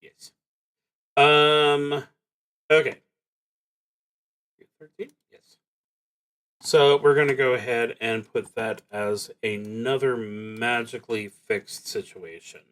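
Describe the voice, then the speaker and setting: Slow, unhurried man thinking aloud on stream. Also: medium pitch, fairly even intonation, fairly bright tone. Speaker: streamer playing a solo tabletop roleplaying game. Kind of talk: live game stream, thinking aloud and reading prompts.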